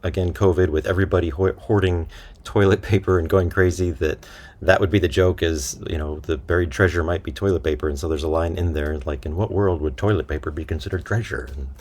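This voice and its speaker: A man's voice: low voice, fairly quick, darkish tone. A man speaking casually as an interview guest, good-humoured, feeling his way through his phrases. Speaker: interview guest, writer and voice actor.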